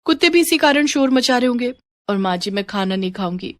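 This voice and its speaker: A woman voicing a young, secretive daughter-in-law in a moral story, expressive and quick with excuses. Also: fairly high pitch, dry recording, studio quality, close to an everyday voice. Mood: evasive.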